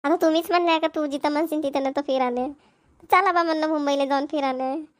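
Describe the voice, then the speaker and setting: Chatty, agreeable village wife, played by a woman, very high pitch, rural Maharashtrian accent, cartoon. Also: steady tone, fast, lightly acted. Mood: teasing, agreeable. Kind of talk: cartoon family dialogue.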